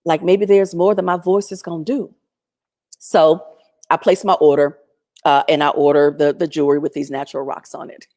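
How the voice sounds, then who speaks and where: Woman guest, lowish pitch, strong loud voice, lively chatty storyteller on a video call. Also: moderate pace. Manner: chatty, animated, acts out quoted voices. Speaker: featured guest. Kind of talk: storytelling testimony.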